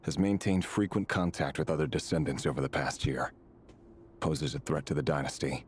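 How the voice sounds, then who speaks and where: A man's voice: extremely low pitch, moderate pace, slight background noise. A man voicing a cold, methodical intelligence operative in a video game, clipped and clinical. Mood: cold, detached. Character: cold, methodical male intelligence operative who purges targets.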